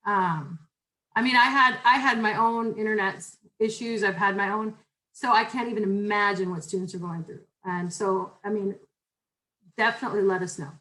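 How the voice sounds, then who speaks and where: A loud-voiced woman leads an online class over a video call. She talks in a chatty, informal way, rambling a little and restarting her sentences as she goes. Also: medium pitch, steady tone, normal speed, low-quality recording. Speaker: university instructor. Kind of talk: online class welcome and syllabus talk.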